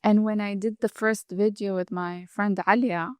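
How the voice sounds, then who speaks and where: A woman's voice: mid-range voice, steady tone, normal speed, dry recording, clean studio sound. A woman from Saudi Arabia, a chef and food blogger, earnestly explaining at length in an interview and often restarting her sentences. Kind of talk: interview conversation.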